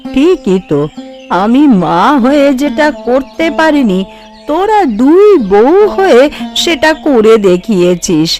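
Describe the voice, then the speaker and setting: A woman's voice: high voice, big pitch swings, slow, darkish tone, faint music behind, heavily acted. A woman voicing an elderly village mother-in-law in a cartoon, speaking emphatically and dramatically. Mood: remorseful. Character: stern, then remorseful elderly mother-in-law in a village family. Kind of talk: cartoon voice acting.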